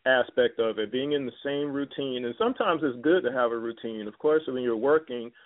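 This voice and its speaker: A man's voice: mid-range voice, brisk. A man hosting a talk radio show, speaking loosely and casually with many fillers. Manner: loose, filler-heavy, conversational. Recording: tinny phone-like line.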